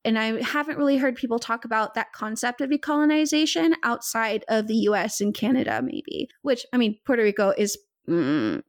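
A woman's voice: a little high, expressive, brisk, hardly any pauses, dry recording, studio quality. A woman hosting a podcast interview, chatty and laughing often as she talks. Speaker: podcast host. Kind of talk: casual interview chat.

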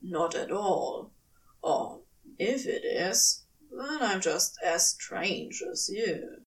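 Woman voicing a dry, wry, lower-pitched male demon. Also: big pitch swings, drawn-out words, far from the mic, a little hiss or hum, exaggerated voice. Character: devoted, wry male demon lover, dryly teasing. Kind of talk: fan fiction audio storytelling.

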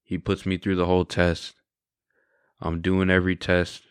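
A man's voice: low-pitched, monotone, moderate pace, clean tone, no echo, clean studio sound. A man in his twenties sharing his testimony in a candid, reflective, conversational way. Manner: candid, reflective, often adds "right?" and "you know". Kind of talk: casual conversational storytelling.

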